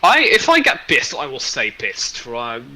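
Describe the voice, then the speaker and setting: A man's voice: medium pitch, wildly swooping pitch, normal speed, a loud crowd, poor audio. A man chatting casually on a stream in a blunt, rambling, sweary way. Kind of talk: casual chat.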